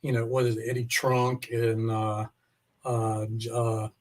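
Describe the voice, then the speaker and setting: A man's voice: medium pitch, flat delivery, measured pace, a crowd in the background. A man hosting an informal interview, chatting casually with an easygoing use of slang and the odd swear word. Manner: informal, mild swearing, y'all. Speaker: podcast host interviewing a musician. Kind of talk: casual chat.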